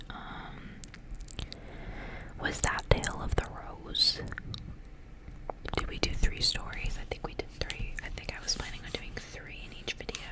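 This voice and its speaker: A young woman whispers softly in an ASMR style. Her delivery is breathy and almost entirely unvoiced, kept hushed throughout. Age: young adult. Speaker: ASMR creator. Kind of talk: whispered ASMR, casual rambling and story reading.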